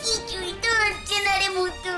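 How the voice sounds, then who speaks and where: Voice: extremely high pitch, fairly even intonation, moderate pace. A performer voicing a small, helpless boy shrunk to ant size in a children's cartoon, plaintive and childlike. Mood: dejected. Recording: music behind.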